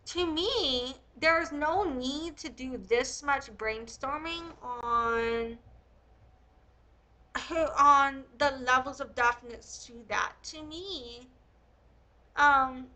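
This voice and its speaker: A woman's voice: high-pitched, drawn-out words, bright, forceful tone. A woman reading aloud and commenting as a host, in a blunt, opinionated, casual way. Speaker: host reading and critiquing a historical text.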